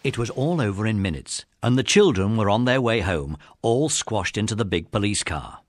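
A man's voice: lowish voice, lively intonation, moderate pace, no echo, clean studio sound. A man with an English accent narrating a children's adventure audiobook as a scripted story.

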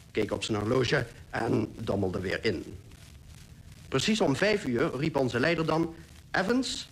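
A man's voice: medium pitch, breathy edge. A man playing a polar explorer who recounts his expedition in literary, descriptive radio-drama narration. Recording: faintly noisy old radio recording.

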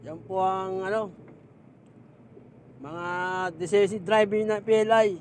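High voiced trucker guy joking around in the cab, some road hum. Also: steady tone, slow, darkish tone. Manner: joking, mock-formal introductions. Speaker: fellow truck driver. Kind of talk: casual banter.

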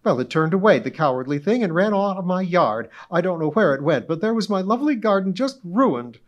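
A man's voice: high voice, sing-song, fairly quick, lo-fi sound, pitched above the performer's own voice. A man reading aloud and voicing a self-important, indignant older society woman who gushes and frets. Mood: flustered. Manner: self-important, flustered, gushing.

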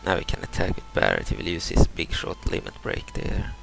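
A man from Sweden in his thirties, a native Swedish speaker, talks through a game in hesitant English, repeating words as he explains each move. His voice sits very low with a heavy gravelly rasp, and his pitch rises and falls a lot. Game music plays clearly behind him. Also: fairly bright tone, poor audio. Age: 30s. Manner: halting, repeats words and restarts, explains moves step by step. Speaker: gameplay commentator. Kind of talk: casual let's-play commentary.

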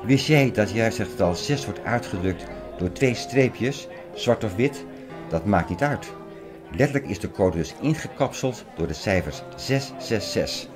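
An actor playing an obsessive, agitated conspiracy theorist in an audio drama, lecturing earnestly and insistently. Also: male voice, fairly low pitch, animated, a little slow. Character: obsessive, agitated male conspiracy theorist expounding apocalyptic prophecies. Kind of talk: Dutch audio drama acting. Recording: music behind the voice.

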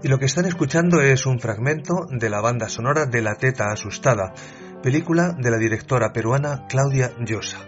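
A man's voice: mid-range voice, animated, a little fast. Male radio host over music. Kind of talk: radio chat. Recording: dull audio with music.

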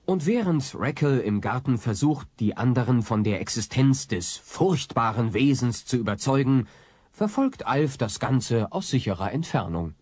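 A man's voice: medium pitch, big pitch swings, normal speed, a little mellow, breathy edge, no echo. A man narrating an audio drama in a dramatic scripted style.

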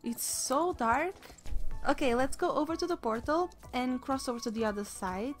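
Excitable, slightly hoarse woman gamer. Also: sits high, moderate pace, soft background music. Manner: excitable, gasps at new sights. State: slightly hoarse, losing her voice. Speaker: gaming channel host. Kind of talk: casual game commentary.

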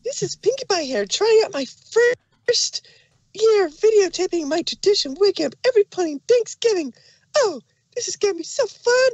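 A hyperactive young cartoon pony with an extremely high, squeaky-pitched voice. She is relentlessly cheerful and pushy, exclaiming her way through a fan audio drama, recorded close and dry. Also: lively intonation, moderate pace, darkish tone, dry recording, poor audio. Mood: bubbly, excited.

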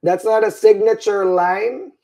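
A man's voice: high-pitched, unhurried, vocal fry, dark tone. A middle-aged Filipino man, English as his second language, teaching an EKG class by webcam, tired and repeating "right?" to check in. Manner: repetitive, checks in with "all right?" and "right?". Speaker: nursing instructor. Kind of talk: livestreamed EKG lecture.

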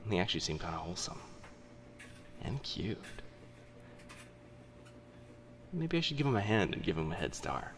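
A young man playing a teasing, kind-hearted telepath in a boyfriend ASMR roleplay, with hesitant, chatty talk and soft asides. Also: sits low, big pitch swings, fast talker, a little hiss or hum. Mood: musing, fond. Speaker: voice actor in audio roleplay.

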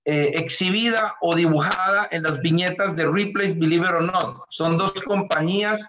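A middle-aged man with a Colombian accent talks earnestly over a webcam from his home, his voice pitched high for a man. The call carries a clear layer of background noise and clicks. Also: normal speed. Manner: earnest, effusive, speaks in long flowing sentences. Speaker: artist guest. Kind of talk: video call interview. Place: home room, indoors. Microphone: webcam on video call.

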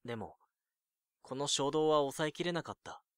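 An actor playing a lovesick, awkward nineteen-year-old in an audio drama, introspective and self-deprecating. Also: male voice, a little high, expressive, normal speed, smooth, dry recording, studio quality, natural voice. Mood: brooding, confessional. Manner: introspective monologue, flustered in dialogue. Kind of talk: audio drama acting with first-person narration.

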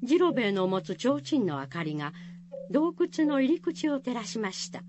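A woman storyteller giving an expressive, dramatic scripted narration of a folk tale for radio. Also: on the high side, normal speed, over music.

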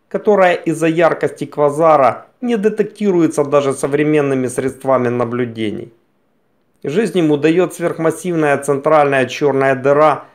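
A man narrating a scripted science documentary in a measured, explanatory manner. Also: a little high.

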